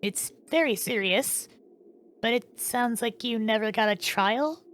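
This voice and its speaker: A woman's voice: on the high side, a little slow, close to an everyday voice. A woman in a fan dub voicing a young queen who is cautious, curious and well-meaning, speaking hesitantly and questioningly. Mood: concerned, reasoning.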